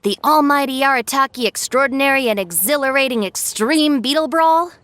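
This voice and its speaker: Firm, higher-pitched young gang deputy. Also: female voice, fairly quick, fairly bright tone, no echo. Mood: resigned. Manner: firm, no-nonsense. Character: sensible, stern, exasperated young female gang deputy. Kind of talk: anime game voice acting.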